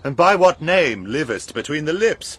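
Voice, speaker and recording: An actor playing a proud, hearty old lord and father in a verse radio drama, husky and declamatory as he hosts his guest. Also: fairly high pitch, sing-song, normal speed, a bit bright, full character voice. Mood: courteous curiosity.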